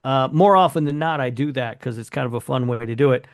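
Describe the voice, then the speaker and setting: A man's voice: mid-range voice, fast talker, no room sound, studio quality. A man co-hosting a podcast in casual, conversational chat. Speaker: podcast co-host.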